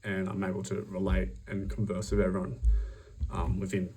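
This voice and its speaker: A young man with an Australian accent giving a self-recorded job application pitch, upbeat and self-promoting, with many ums and restarts. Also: monotone, normal speed, dark tone, slightly rough, recorded from a distance.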